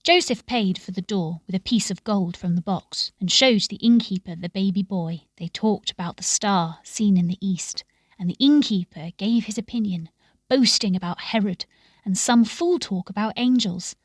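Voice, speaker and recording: A woman reading a story aloud in a measured, expressive way, with phrases that often sink into a hush. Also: medium pitch, no echo, clean studio sound. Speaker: story reader. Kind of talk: scripted storytelling narration.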